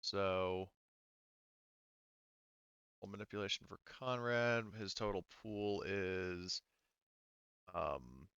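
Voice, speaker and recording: A man running a tabletop game as game master, explaining rules casually and haltingly as he thinks aloud. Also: sits low, a bit bright, clear, resonant tone, no room sound, muffled recording.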